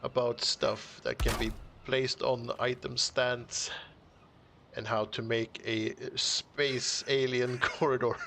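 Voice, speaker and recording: Male game streamer, mid-pitched, casual thinking-aloud chat, frequent chuckles. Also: fairly even intonation, slow pace, background noise. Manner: chuckling often, musing half to himself. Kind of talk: casual live-stream commentary while building.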